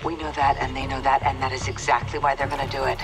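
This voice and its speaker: An actress playing a composed middle-aged CIA station chief with an American accent, speaking in a clipped, businesslike way in a military game. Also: female voice, a bass voice, flat delivery, fast talker, over loud music. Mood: firm.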